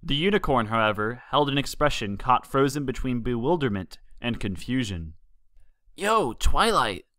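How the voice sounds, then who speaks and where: A man narrates written fan fiction as a story reader. His pitch sits in the middle of the male range. The recording is dry, with almost no room sound. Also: lively intonation, moderate pace, hardly any pauses, a bit bright, no echo.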